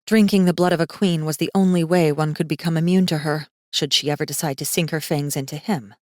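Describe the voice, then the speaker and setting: A woman narrates a fantasy audiobook in a scripted storytelling style. Her voice sits a little low, recorded close and dry with no room sound. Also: fairly even intonation, brisk, no echo.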